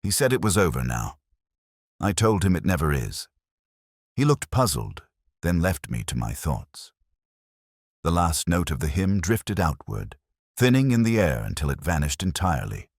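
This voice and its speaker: A man reading a scripted first-person mystery story in a reflective, solemn, deliberate, melancholy manner. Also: deep voice, big pitch swings, no echo, clean studio sound. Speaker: narrator of a detective mystery story.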